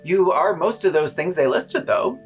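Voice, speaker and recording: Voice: medium pitch, animated, normal speed, faint music behind. A video essayist giving scripted, sarcastic commentary with casual swearing and comedic asides.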